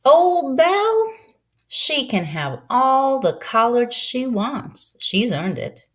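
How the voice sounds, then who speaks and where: A woman voicing a kindly, proud old Southern farm woman who tells her story in a folksy, unhurried way for a storybook. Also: high-pitched, very animated, voice pushed up, exaggerated voice. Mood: amused, fond.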